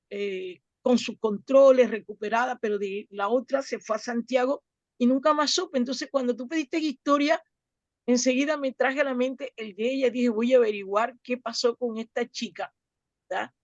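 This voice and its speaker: A woman from Latin America telling a personal story to a group in an earnest, halting way, repeating words as she goes. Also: a little high, no room sound, lo-fi sound. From Latin America, outside Chile. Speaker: club volunteer and workshop participant.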